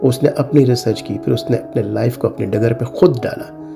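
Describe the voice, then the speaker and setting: A man's voice: mid-range voice, normal speed, creaky, music in the background. A middle-aged man with a Pakistani accent gives informal advice to viewers, emphatic and repeating his warnings, mixing in English phrases. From South Asia (Pakistan). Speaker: cyber security consultant and advice video host. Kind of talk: informal advisory talk to viewers.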